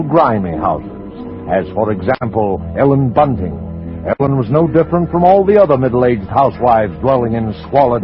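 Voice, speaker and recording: A man announcing and narrating a 1940s radio suspense show in a theatrical, ominous, deliberately phrased way. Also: medium pitch. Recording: dull old radio recording. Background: dramatic music.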